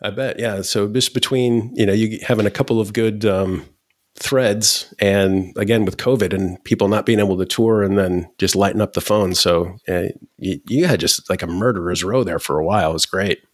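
A man in his forties, a podcast host, chatting casually in a deadpan way with halting restarts. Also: lowish voice, no echo, studio quality. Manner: halting, many restarts and fillers. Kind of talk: casual conversation.

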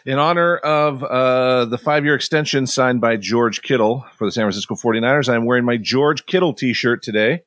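A man's voice: mid-range voice, moderate pace, hardly any pauses, a bit bright, no room sound, studio quality. A man joining as a guest co-host, cheerful and teasing in casual chat.

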